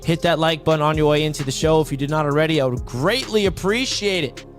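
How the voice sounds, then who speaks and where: Hoarse, hyped man hosting a sports stream. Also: on the high side, fast talker. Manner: hyped, casual, repeats "man". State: raspy voice from yelling. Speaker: sports podcast host. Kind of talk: live sports talk stream. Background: soft background music.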